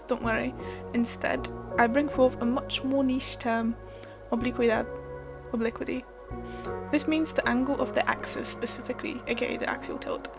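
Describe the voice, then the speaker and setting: A young woman whose first language is Polish, narrating a scripted voice-over in a thoughtful, wry and lightly self-deprecating way. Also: on the high side. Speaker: art and language vlogger. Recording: voice-over with clear background music.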